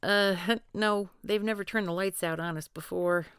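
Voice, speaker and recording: A woman's voice: medium pitch, steady tone, moderate pace, a bit bright, no echo, natural voice. A woman voicing a blunt, foul-mouthed leader of a paranormal group in a scripted audio roleplay. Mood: wary. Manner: gruff, wisecracking, swears often.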